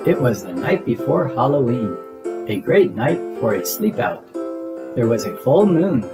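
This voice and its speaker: Animated, unhurried man reading a children's story. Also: medium pitch, over loud music. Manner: animated, acts out character dialogue. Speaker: children's book reader. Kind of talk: expressive read-aloud storytelling.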